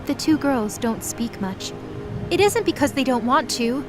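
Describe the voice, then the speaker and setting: A woman's voice: sits high, animated, a little slow, music in the background. A woman narrating a scripted audio drama in the present tense as its storyteller.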